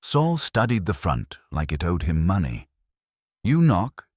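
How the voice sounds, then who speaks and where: Very deep-voiced man narrating a detective story. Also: big pitch swings, normal speed, no echo, studio quality. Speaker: audiobook narrator. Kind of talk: scripted first-person detective story narration.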